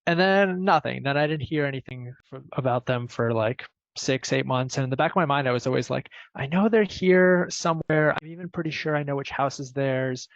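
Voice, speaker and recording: Gravelly man casually telling a story. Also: medium pitch, brisk, no echo. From Ukraine. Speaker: program participant telling his story. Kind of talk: casual personal storytelling on a group call. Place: video call. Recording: dull, close, dry video-call audio.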